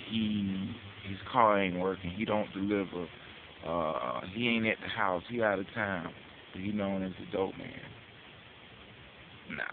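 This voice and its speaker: An American man giving an informal advocacy monologue as a public address, talking in street slang with casual swearing and rhetorical questions. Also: deep voice. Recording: tinny phone-like audio with hiss.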